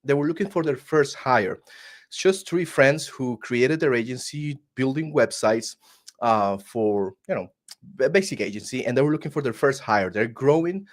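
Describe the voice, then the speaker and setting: A man's voice: mid-range voice, expressive, moderate pace. A man from Costa Rica with a Costa Rican Spanish accent, telling his story earnestly as a guest on a video call, often restarting his words. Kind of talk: casual interview storytelling.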